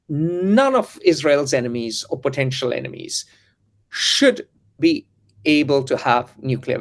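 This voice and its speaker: A man hosting a geopolitical discussion, lecturing and full of historical asides. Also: fairly high pitch. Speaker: host and editor.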